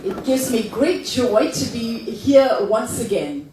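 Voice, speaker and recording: A visiting woman preacher from South Africa speaks in short phrases and pauses for her interpreter. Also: fairly high pitch, very animated, noisy background. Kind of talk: sermon delivered through an interpreter. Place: church hall. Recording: echoey hall from far off.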